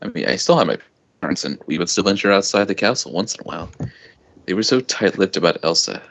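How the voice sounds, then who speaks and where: A man reading a first-person story aloud informally, halting now and then with loose asides. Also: low voice, expressive, creaky, fairly bright tone. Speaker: amateur fan fiction reader. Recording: dull lo-fi home recording.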